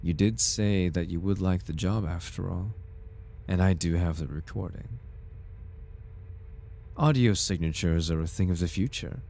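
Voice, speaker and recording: Man playing a deep-voiced, silky corporate recruiter. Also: unhurried, creaky, pauses often, dark tone. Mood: slick reassurance. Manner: theatrical, formal, silky salesman politeness. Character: smooth-talking, flirtatious, faintly sinister corporate recruitment advisor. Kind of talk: ASMR roleplay, scripted in-character monologue.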